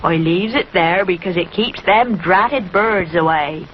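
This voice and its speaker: A woman's voice: fairly low pitch, unhurried, muffled recording, a little hiss or hum, lightly acted. A woman voicing a jovial, doubting country farmer in a children's storybook, with a rustic English accent and an amused drawl. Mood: gruff.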